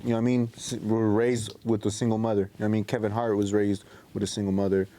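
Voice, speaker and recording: Fast-talking man with a mellow voice. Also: lowish voice, flat delivery. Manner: repeats phrases, frequent check-ins with listeners. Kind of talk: casual podcast chat with slang.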